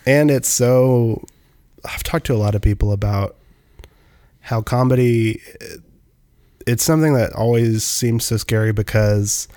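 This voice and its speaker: A man's voice: medium pitch, unhurried, pauses often, warm tone, no echo. A man in his twenties chatting casually, rambling with lots of "like" and fillers. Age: 20s. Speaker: musician guest. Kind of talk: casual chat.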